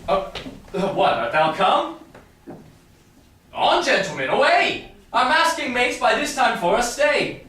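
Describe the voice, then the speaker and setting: A very echoey, distant recording of an ardent young male lover as acted on stage reading Shakespeare. The delivery is slow, playful and romantic, with big swoops of pitch. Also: big pitch swings, fairly bright tone. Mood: rallying. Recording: very echoey room, distant, faint noise.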